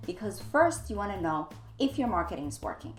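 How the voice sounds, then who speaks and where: A woman's voice: mid-range voice, very animated, a little fast, roomy sound, faint music behind. A woman giving an instructional coaching talk, speaking emphatically and repeating key phrases for stress. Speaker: dental practice coach and trainer.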